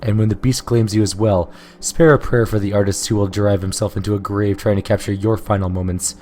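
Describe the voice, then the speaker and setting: A hoarse man voicing a tormented male sculptor who is scornful and despairing. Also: lowish voice, little pitch movement, a little fast, darkish tone, a little hiss or hum, close to an everyday voice. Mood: bitter. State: hoarse from illness.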